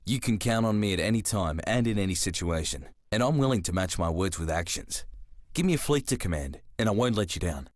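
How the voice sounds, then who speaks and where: A young navy rookie in a video game, earnest and eager to serve. The voice is quite low, formal and resolute, recorded dry and close. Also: male voice, expressive, fairly quick, dry recording. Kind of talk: scripted video game acting.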